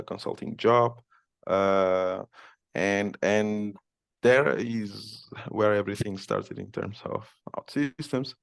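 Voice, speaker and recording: A man from Cape Verde speaks as a podcast guest, slowly, hesitantly and with lots of fillers and restarts. His low voice has a gravelly fry. The recording is dry. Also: no echo. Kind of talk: casual interview.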